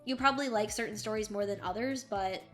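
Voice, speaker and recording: A woman's voice: mid-range voice, fast, a bit bright, soft background music. A woman co-hosting a book review show, chatting casually in an enthusiastic, emphatic way.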